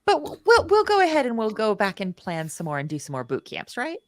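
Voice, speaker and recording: A woman's voice: mid-range voice, wildly swooping pitch, fairly quick, no echo. A woman co-hosting a livestream, talking in a bubbly, playful way. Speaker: co-host. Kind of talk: casual livestream chat.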